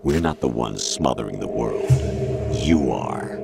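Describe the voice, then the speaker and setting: An actor playing a cold, condescending male machine intelligence in a video game, lecturing in a measured way. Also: a bass voice, lively intonation, gravelly, exaggerated voice. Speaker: game character. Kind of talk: video game cutscene voice acting. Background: loud dramatic music score.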